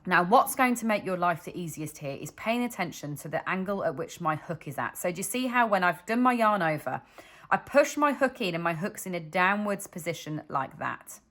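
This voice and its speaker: A woman's voice: fairly low pitch, lively intonation, moderate pace, a bit bright. A woman with a British accent teaching crochet stitches step by step, patiently explaining as she demonstrates. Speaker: crochet instructor. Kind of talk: step-by-step tutorial. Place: indoors at a wooden table, hands only.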